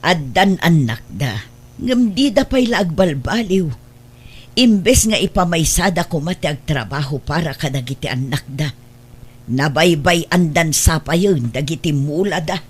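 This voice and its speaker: A woman playing a nosy, gossiping village auntie with a husky voice in a radio drama. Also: deep voice, lively intonation, a little slow, exaggerated voice. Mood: disapproving. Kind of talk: Ilocano radio drama acting.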